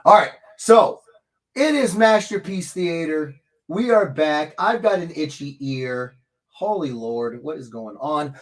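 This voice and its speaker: A man hosting a casual livestream, from the American Midwest, talking in a rambling, chatty way that keeps interrupting itself, his throat still slightly hoarse. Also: on the high side, lively intonation, takes it slowly, poor audio. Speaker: livestream host.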